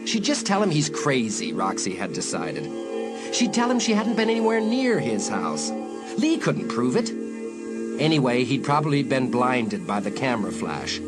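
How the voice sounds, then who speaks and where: A man narrating a suspenseful dramatised audiobook in a measured way with dramatic pauses. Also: mid-range voice. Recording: loud music behind.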